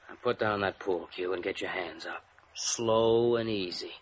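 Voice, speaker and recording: A man's voice: deep voice, moderate pace, close to an everyday voice. Man acting a menacing radio killer, muffled recording. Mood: bitter, menacing. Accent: American. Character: defensive, menacing male killer and scheming fiancé. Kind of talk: 1950s American radio drama acting. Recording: dull, noisy old radio recording.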